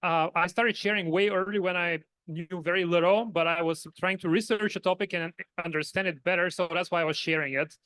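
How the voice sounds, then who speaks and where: A man's voice: high voice, fairly even intonation, fairly quick, hardly any pauses, a bit bright, smooth, no echo, low-quality recording. A man from Bulgaria with a Bulgarian accent, speaking modestly and conversationally as a panelist. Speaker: panelist, software engineer. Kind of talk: conversational conference panel answer.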